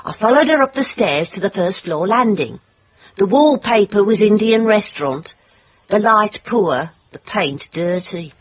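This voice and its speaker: An actress playing a tough, determined private investigator in a radio drama, dry and wry. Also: female voice, medium pitch, lively intonation, unhurried, husky, poor audio. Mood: wry, observant. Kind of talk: radio drama acting with first-person narration.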